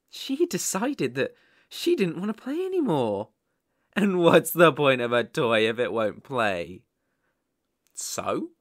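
A man playing a sadistic, obsessive young kidnapper in an ASMR roleplay, gloating with menacing, mocking, drawn-out phrasing. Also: fairly high pitch, fairly bright tone, no room sound, studio quality, exaggerated voice.

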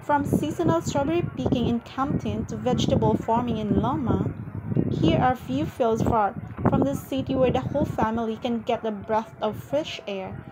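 A young adult woman vlogger reading a scripted travel voice-over in an even, informative tone. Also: a little high, normal speed, very noisy.

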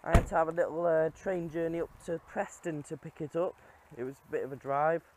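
A man's voice: a little high, brisk, darkish tone, rough recording. A man casually talking through his car on camera, rambling with lots of ums. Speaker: car vlogger. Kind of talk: casual walkaround commentary. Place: outdoors on a quiet rural lane. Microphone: head-mounted action camera.

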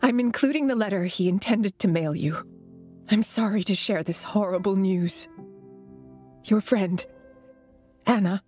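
An actress playing a grieving young woman in a video game, gently and solemnly reading out her sorrowful letter. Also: medium pitch, measured pace, long pauses, close to an everyday voice. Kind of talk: scripted dramatic letter narration. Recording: music under the voice.

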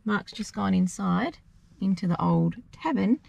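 A woman's voice: medium pitch, expressive, unhurried, gravelly, a little mellow, no room sound. Chatty Australian woman vlogging on the road. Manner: chatty and enthusiastic. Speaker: travel vlogger. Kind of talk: casual vlog narration. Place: inside a car and outdoors by a lake.

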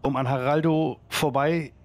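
A man playing a tabletop game, chatting casually and haltingly as he works out his moves. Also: medium pitch, fairly even intonation, soft background music.

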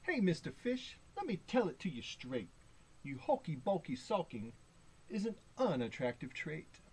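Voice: mid-range voice, sing-song, normal speed, a little mellow, breathy edge. A woman voicing a chiding, coaxing sea creature in a children's storybook, speaking in emphatic rhyme. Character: scolding sea-creature friends nagging a grumpy fish.

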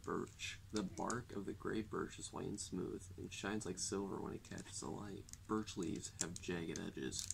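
A young man reads a factual children's book aloud in a deadpan way. His voice sits fairly low with plenty of fry in it. He is recorded from a few feet away indoors, with clear background noise. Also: a little slow, hardly any pauses. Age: young adult. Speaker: reader. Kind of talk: reading a children's non-fiction book aloud. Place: indoors, public library, at a table.

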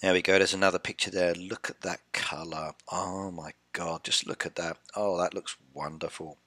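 Chatty man streamer, bright dry voice. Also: low voice, fairly even intonation, moderate pace, dry recording. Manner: chatty, playful, reads chat aloud and teases viewers. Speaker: game streamer. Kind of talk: casual live-stream chat. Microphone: headset with desk mic.

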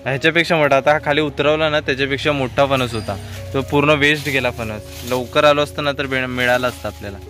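A man's voice: medium pitch, steady tone, quick pace, sharp tone, soft background music. Young man chatting through an orchard vlog. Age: young adult. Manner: chatty, explains step by step to viewers. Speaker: vlogger. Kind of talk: casual outdoor vlog narration. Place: hillside orchard outdoors.

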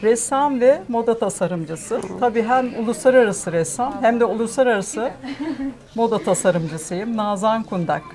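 A woman's voice: fairly high pitch, expressive, moderate pace, darkish tone. A middle-aged or older woman introducing herself as a designer in an interview. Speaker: fashion designer being interviewed.

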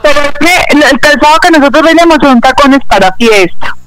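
A woman's voice: high-pitched, expressive, fairly quick, crowd noise, low-quality recording. A woman with a Colombian accent from the Medellín area, speaking politely as a shoe store employee on a customer call.

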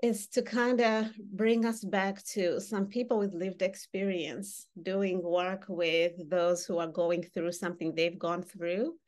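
A woman in her thirties, who grew up in Uganda, leading an online workshop on a video call and talking directly to the participants. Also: fairly low pitch. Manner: animated, gestures while speaking, engages participants directly. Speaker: workshop facilitator. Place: home room with wall baskets. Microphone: webcam on a video call.

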